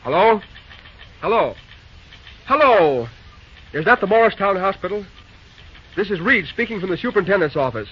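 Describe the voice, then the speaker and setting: A steady railroad foreman. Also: high voice, moderate pace, long pauses, husky, exaggerated voice. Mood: anxious. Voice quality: commanding, slightly stilted reading. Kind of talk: 1940s radio drama acting. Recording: dull lo-fi old radio recording with faint noise.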